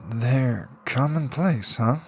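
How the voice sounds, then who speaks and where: A man's voice: mid-range voice, takes it slowly, breathy edge. A man voicing a wry seventeen-year-old shut-in boy, musing to himself in an audiobook reading.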